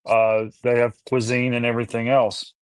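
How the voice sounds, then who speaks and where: A man hosting a podcast, talking in a slow, hesitant way with lots of fillers while reading a sponsor spot and chatting. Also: mid-range voice, level tone, clear, resonant tone, dry recording. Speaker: podcast host. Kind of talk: casual podcast chat with sponsor read.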